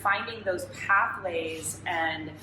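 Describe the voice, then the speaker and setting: A woman's voice: on the low side, slow pace. A woman from California, a Pilates educator, talking in a reflective, chatty way. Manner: warm, reflective, chatty with "you know" asides. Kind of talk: casual interview. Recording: echoey room with background noise.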